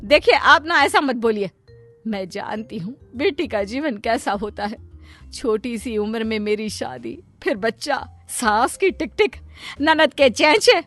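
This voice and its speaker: A woman's voice: a little high, expressive, a little slow, fairly bright tone, soft background music, full character voice. A woman voicing a doting, self-pitying middle-aged mother in a Hindi cartoon moral story, fussing and pleading melodramatically. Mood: fussing, defensive.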